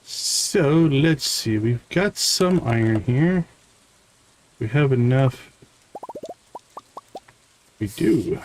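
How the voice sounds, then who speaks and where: Male game streamer, unhurried and mid-pitched, musing casually in short bits, some background noise. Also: animated, darkish tone, low-quality recording. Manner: thinking aloud in short fragments. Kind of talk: casual live gameplay commentary.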